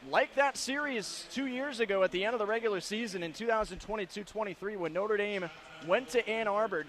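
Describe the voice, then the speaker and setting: Male hockey play-by-play announcer, high register, crisp detailed calls, live broadcast with background arena noise. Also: fairly bright tone. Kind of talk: live ice hockey commentary.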